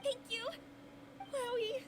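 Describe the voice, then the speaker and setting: A woman's voice: very high-pitched, steady tone, slow pace. A woman playing a friendly, easily thrilled young restaurant worker in an anime video game. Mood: delighted.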